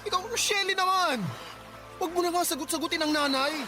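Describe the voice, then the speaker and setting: Radio drama grown son: very high pitch, flustered, placating, music underneath. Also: female voice, brisk. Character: flustered adult son, placating his mother, chiding his partner.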